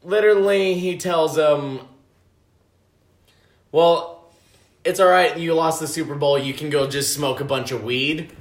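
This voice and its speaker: A man's voice: a little high, steady tone, a little slow, pauses often. Rambling man telling a story in an echoey room. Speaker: podcast guest. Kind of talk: casual drunken chat.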